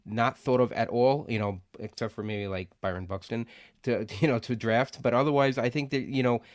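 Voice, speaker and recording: A man's voice: mid-range voice, steady tone, normal speed, dry recording, studio quality. A man co-hosting a sports podcast in casual chat, loose and hedging, often repeating words as he thinks. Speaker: podcast co-host.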